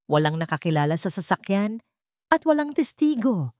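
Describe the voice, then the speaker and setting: A woman's voice: medium pitch, sing-song, normal speed, a little mellow, dry recording, close to an everyday voice. A businesslike Filipino policeman briefing a colleague, acted out by a woman storyteller. The delivery is clipped and matter-of-fact, in audio drama style, and the recording is dry.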